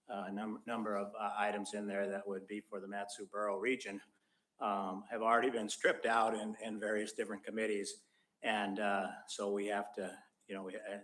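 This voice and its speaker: A man serving as mayor, giving a spoken update at a public meeting in a halting way, with many restarts and fillers. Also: lowish voice.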